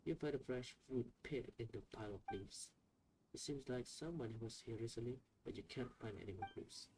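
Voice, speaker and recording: A man's voice: mid-range voice, fairly quick, noisy background. A man making a gameplay video, reading game text aloud and making casual, offhand remarks. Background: loud game music.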